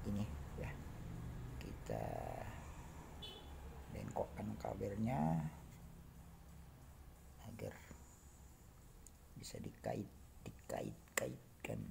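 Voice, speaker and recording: A man narrating an informal hands-on demo, halting and muttering to himself as he works, recorded indoors on a handheld phone. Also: on the low side, animated, creaky, background noise. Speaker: hobbyist electronics builder demonstrating circuits. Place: indoor home workbench.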